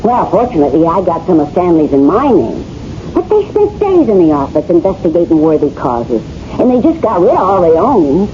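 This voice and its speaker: A woman's voice: on the low side, very animated, brisk, warm tone. An actor playing a brassy, flirtatious wife fed up with her husband, in slangy, wisecracking 1970s radio drama style, played by a woman. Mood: sardonic, flirtatious. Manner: slangy, wisecracking, ungrammatical delivery. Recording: muffled, hissy old radio broadcast recording.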